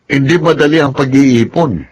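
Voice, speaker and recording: A man's voice: medium pitch, normal speed, slightly rough, lo-fi sound, natural voice. A man with a Filipino accent playing a chummy, persuasive ex-inmate friend in a radio drama. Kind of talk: Tagalog radio drama acting.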